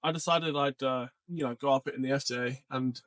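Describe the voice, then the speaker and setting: A man co-hosting a podcast, chatting casually and telling stories in a rambling, animated way. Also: mid-range voice, brisk, no room sound. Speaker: podcast co-host. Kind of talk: casual podcast chat about off-road adventures.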